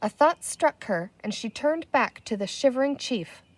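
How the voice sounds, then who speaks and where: A woman reading a children's book aloud as expressive scripted narration. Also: fairly high pitch, moderate pace, no echo. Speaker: storybook reader.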